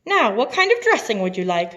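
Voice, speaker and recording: High, squealy little girl for a storybook, voiced by a woman. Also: sing-song, fairly quick, a bit bright. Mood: helpful. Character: young girl, helpful niece, excitable.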